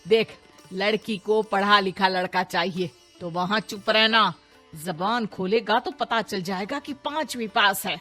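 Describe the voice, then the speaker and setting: A woman puts on a scheming middle-aged stepmother for a cartoon moral tale. She is loud, sarcastic and scornful, forever scolding. Music plays faintly behind. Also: mid-range voice, unhurried. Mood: scolding, greedy.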